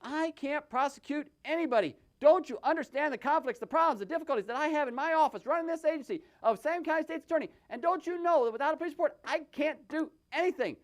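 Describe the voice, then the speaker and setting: A man's voice: very high voice, fast talker, hardly any pauses. A man voicing a grandiose, evasive state's attorney puppet in a pompous, theatrical way. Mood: defensive.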